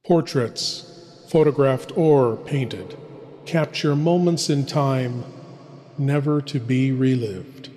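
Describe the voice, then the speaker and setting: Slow, theatrical male horror host. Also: medium pitch, warm tone. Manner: ominous, theatrical, deliberate. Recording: long hall-like reverb, faint music.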